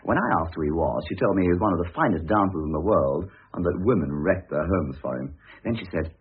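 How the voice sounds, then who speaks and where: Deep, husky British son on tinny old radio. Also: male voice, animated, fast talker, exaggerated voice. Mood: earnest, insistent. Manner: emphatic, insistent, moralising. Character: opinionated, priggish, eager adult son. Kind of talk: vintage British radio drama acting.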